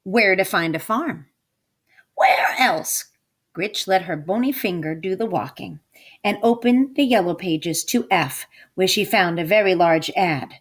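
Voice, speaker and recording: Expressive, unhurried woman reading to children. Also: lowish voice, breathy edge. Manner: dramatic emphasis, coaxing questions to listeners. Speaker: storybook reader, teacher. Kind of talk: expressive read-aloud to children.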